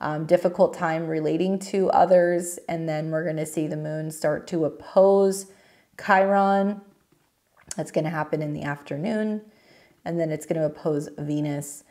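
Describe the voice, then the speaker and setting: A woman hosting a weekly astrology forecast in a casual, rambling way, her voice hoarse from a cold. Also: sits low, fairly even intonation, normal speed, warm tone. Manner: rambling, lots of fillers and restarts. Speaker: astrology channel host.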